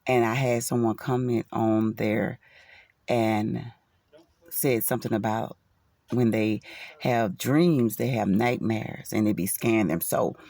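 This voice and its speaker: Creaky-voiced man with a stuffy nose. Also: on the low side, fairly even intonation, slow pace, darkish tone, dry recording. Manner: earnest and preachy, many fillers, repeats "you know". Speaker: faith vlogger. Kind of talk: casual spiritual talk to camera.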